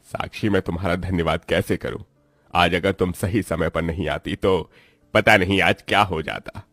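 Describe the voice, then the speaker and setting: Cartoon boss-groom, male actor: young, wealthy, kind, earnest, low deep voice, dry recording over music. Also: fairly even intonation, normal speed, a bit bright, no room sound. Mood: grateful, tender. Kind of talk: Hindi moral-story cartoon acting.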